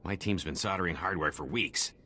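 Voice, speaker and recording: Very deep, forceful commander. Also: male voice, fairly quick, a little hiss or hum. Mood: businesslike. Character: gruff, commanding male military engineering chief. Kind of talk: video game dialogue.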